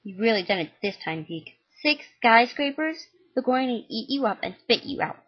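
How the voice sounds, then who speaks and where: A woman's voice: fairly high pitch, expressive, normal speed. A woman voicing an agitated mother who scolds her teenage son, harsh and exasperated, on a dull lo-fi recording.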